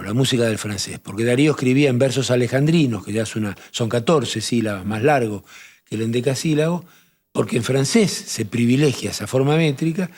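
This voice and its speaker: A man with a Rioplatense accent explaining poetry and translation in a measured talk-show conversation, often restarting his phrases. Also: mid-range voice, darkish tone. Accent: Rioplatense Spanish. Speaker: literature professor on a TV talk show.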